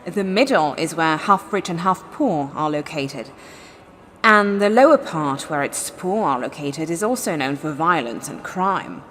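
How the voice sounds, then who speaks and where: Woman playing low, swooping, sly vampire lady. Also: expressive, measured pace, a bit bright, slight background noise. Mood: confident, informative. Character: sly, predatory female vampire, eager lieutenant. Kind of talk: acted fantasy audio drama.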